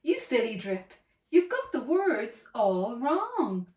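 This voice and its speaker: A woman voicing fierce, bossy young female dinosaurs in a children's storybook, calling out loudly. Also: on the high side, wildly swooping pitch, slow, exaggerated voice. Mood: jeering. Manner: yelling, jeering. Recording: roomy, echoey, distant microphone.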